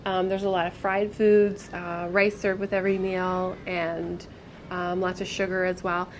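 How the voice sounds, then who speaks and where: A woman's voice: lowish voice, flat delivery, measured pace, a little hiss or hum, low-quality recording. A woman hosting a family travel vlog, talking casually with a stuffed-up nose from a cold. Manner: chatty, candid, many fillers. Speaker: vlog host.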